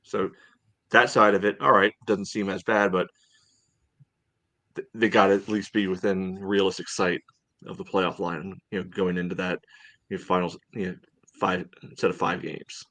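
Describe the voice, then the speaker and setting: A man co-hosting a soccer podcast in casual discussion, thinking aloud in a halting way. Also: sits low, level tone, fairly bright tone.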